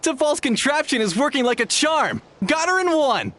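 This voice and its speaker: A man voicing a boastful, gleeful bandit trapper in an anime game, crowing with excitement. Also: very high voice, normal speed, heavily acted.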